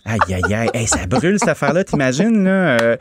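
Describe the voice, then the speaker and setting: A man with a Quebec French accent hosting a casual morning radio show, joking and exclaiming in animated chat. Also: mid-range voice, a little fast, heavy background noise. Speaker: radio host.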